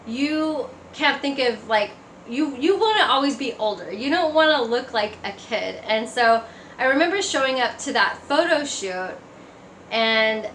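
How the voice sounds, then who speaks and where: A woman's voice: on the high side, lively intonation, a little slow, fairly bright tone. A woman giving a personal testimony in a casual, conversational storytelling way. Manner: conversational, acts out remembered remarks. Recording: echoey room, faint background noise.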